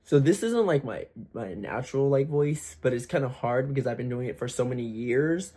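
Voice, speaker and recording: A young man chatting candidly to camera about his singing plans, talking in a deliberately raised, slightly forced voice, swearing freely and using lots of fillers. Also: medium pitch, expressive, warm tone. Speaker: aspiring singer vlogger. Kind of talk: casual talk to camera.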